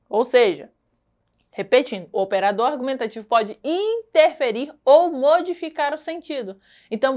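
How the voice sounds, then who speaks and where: A woman teacher with a Brazilian Portuguese accent giving a recorded lesson, explaining patiently, repeating key points and checking in with her students. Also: high voice.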